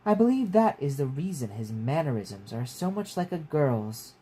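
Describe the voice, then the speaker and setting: A man's voice: fairly high pitch, sing-song, normal speed, dark tone. A man reading a story aloud as a haughty, disapproving woman with a disdainful manner. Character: haughty, disapproving second wife of clan chief. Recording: clear background noise.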